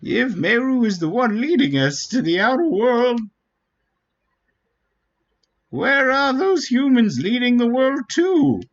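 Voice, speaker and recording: A man puts on a solemn, wise old forest elder from a fantasy game. The delivery is slow and grave, with wide swings of pitch. The sound is dull and lo-fi. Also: sing-song, exaggerated voice. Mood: solemn, hopeful. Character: ancient, solemn Wingly elder, wise leader of a forest people. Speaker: game streamer. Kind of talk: dramatic read-aloud of fantasy game dialogue. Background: faint game music.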